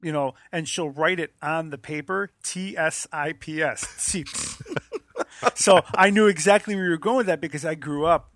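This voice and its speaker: Bright-voiced joking man on a podcast. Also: a little high, no echo. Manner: joking, quick banter, laughs readily. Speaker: podcast co-host. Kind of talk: casual chat.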